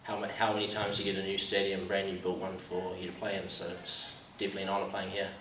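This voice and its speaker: A young man with a New Zealand accent speaks in a lowish, level voice that barely rises or falls. His answers stay upbeat, and he keeps leaning on the same words. The recording is far off and echoey. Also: completely flat delivery, fast talker, noisy background. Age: young adult. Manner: upbeat, repeats "definitely". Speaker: college soccer player. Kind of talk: interview answers. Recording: echoey room, mic far off.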